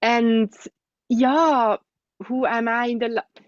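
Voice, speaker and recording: Soft-spoken Swiss woman, muffled dry sound. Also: fairly high pitch, steady tone, slow, no echo. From Switzerland. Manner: thoughtful, soft-spoken, frequent gentle laughs and hums of assent. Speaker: guest, osteopath. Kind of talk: reflective interview conversation.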